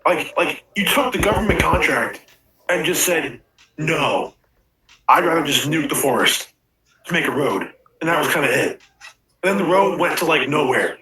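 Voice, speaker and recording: Man voicing an indignant, sardonic, bright-voiced animatronic. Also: a little high, expressive, rough recording. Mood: resentful. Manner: halting, conversational, incredulous.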